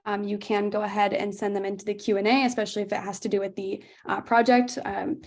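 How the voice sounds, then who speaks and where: A woman's voice: mid-range voice, flat delivery, fast talker, a little mellow. A young woman hosting a video-call webinar in a chatty way, with frequent fillers and laughs. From United States. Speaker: webinar host.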